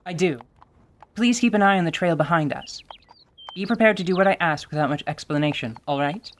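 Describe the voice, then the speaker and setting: A woman playing a calm, authoritative tiefling warrior woman with a measured, dry delivery. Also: lowish voice, a little hiss or hum, close to an everyday voice. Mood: commanding. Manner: cool, measured and dryly authoritative. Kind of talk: radio drama acting.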